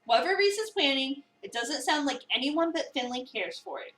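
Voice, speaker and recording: Bright, high-pitched, eager new cafe worker played by a woman. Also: brisk, slight background noise. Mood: wry, curious. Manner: expressive, self-deprecating, earnest. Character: eager, awkward, chatty new cafe employee narrating inwardly. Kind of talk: visual novel dramatic reading. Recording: roomy, a bit far from the mic.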